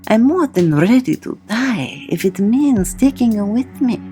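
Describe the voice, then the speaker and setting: A woman's voice: fairly high pitch, animated, measured pace, mellow, faint music behind. A woman playing a wry, determined gang leader in a comedic audio drama, conversational and sardonic. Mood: resolute, wry.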